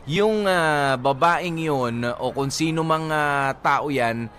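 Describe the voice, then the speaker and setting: A man's voice: a little high, takes it slowly, fairly bright tone, a little hiss or hum. Hesitant middle-aged man co-hosting radio. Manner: hesitant, full of "ah" fillers, good-naturedly defensive. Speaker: radio show co-host. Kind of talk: Taglish radio banter about a listener's story.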